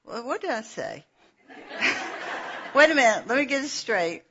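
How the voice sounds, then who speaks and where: A middle-aged woman with a Georgia drawl teaches in an easy, anecdotal way, laughing at herself as she goes. Her voice is slightly breathy and her delivery is slow. The recording sounds dull and lo-fi. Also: on the high side, big pitch swings, sharp tone. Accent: Southern US (Georgia). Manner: folksy, chatty storyteller who laughs at herself. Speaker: Bible teacher and author. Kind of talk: conference teaching session.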